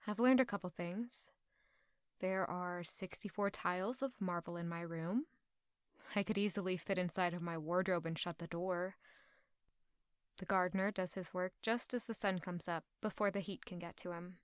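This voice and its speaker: A woman's voice: lowish voice, fairly even intonation, moderate pace, smooth, no echo, clean studio sound, close to an everyday voice. An actress playing an anguished, proud young court lady in an audio drama, brooding intimately in a dramatic first-person monologue. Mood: weary, brooding. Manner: intimate, brooding, emotionally intense.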